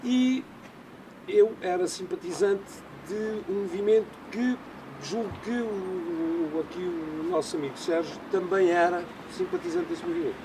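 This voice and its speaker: A man chatting in a documentary interview, playful and lively. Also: high-pitched, normal speed, creaky, background noise. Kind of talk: casual chat.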